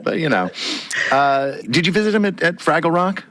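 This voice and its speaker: A man's voice: on the high side, expressive, fairly bright tone. A man hosting an interview podcast, chatting easily and laughing often while his guest talks. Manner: laughs often, affirms with quick yeahs. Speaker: podcast host. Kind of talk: relaxed interview chat.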